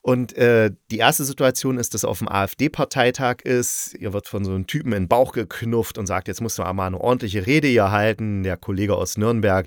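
A man's voice: fairly low pitch, a bit bright, dry recording, clean studio sound. A middle-aged man giving a casual, unscripted review in a chatty, opinionated way. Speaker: radio play critic and podcast host.

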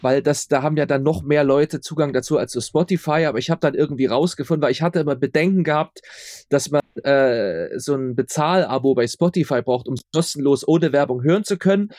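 A man's voice: on the high side, brisk, darkish tone, no room sound, studio quality. A man chatting casually as an interview guest, talkative and often repeating words for emphasis. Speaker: podcast guest, audio drama maker.

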